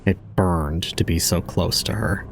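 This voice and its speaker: A man's voice: low-pitched, fairly even intonation, unhurried, vocal fry, slight background noise. A man playing an aloof young man, narrating an audio drama in a formal, detached, cold way. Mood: brooding, detached.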